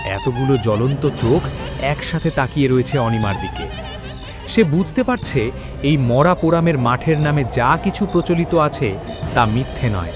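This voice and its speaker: A man tells a scripted horror tale as narrator. His medium-pitched voice moves animatedly, with a dramatic, suspenseful delivery. Music is clearly present behind him.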